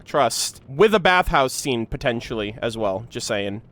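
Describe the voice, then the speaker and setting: A young man giving casual, slangy reaction commentary on a game, with a playful, excitable manner. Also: mid-range voice, unhurried.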